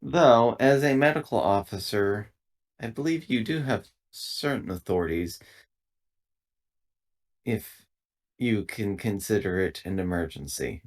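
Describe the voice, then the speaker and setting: Man playing a slow, deep, formal shipboard android. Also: expressive. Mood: polite, deliberate. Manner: measured, formal, halting.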